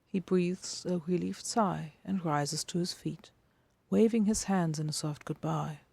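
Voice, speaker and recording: A woman narrates fiction in a low, mellow voice with noticeable creak. Her dramatic reading is hushed and intimate, with deliberate phrasing. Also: no echo. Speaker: podfic narrator.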